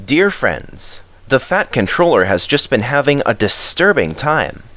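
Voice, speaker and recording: A man reading a children's story aloud in an expressive scripted narration style. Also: mid-range voice, a little slow, no echo.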